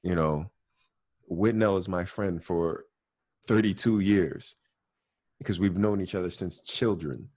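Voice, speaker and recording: Deep-voiced young man musing. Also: measured pace, long pauses, darkish tone. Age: young adult. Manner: thoughtful, fillers like "you know". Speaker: host. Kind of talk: casual reflective chat.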